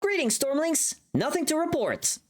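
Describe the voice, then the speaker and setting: Woman doing a high-pitched chipper gatekeeper guard. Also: sing-song, moderate pace. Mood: chipper, dutiful. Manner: bright salute-like greeting, formulaic reporting. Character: chipper, dutiful gatekeeper guard greeting passers-by, video game style.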